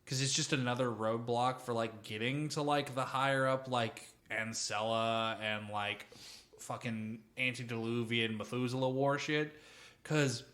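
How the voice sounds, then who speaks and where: A man with a mid-range voice chats casually as a podcast co-host. He rambles from one thought to the next, swears freely and tells things in an animated, informal way. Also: mid-range voice, measured pace. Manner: rambling, profane, animated storytelling. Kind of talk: casual chat about tabletop role-playing.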